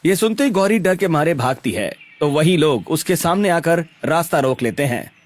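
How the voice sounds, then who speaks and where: A man's voice: a little high, lively intonation, normal speed. A man reading scripted narration for a cartoon story.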